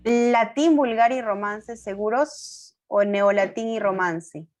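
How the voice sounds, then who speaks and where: Woman, teacher, online class, mid-pitched voice, questioning, coaxing, affirming fillers, corrects herself. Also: slow, a little mellow. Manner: corrects herself aloud, apologetic. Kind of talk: online class going over a quiz.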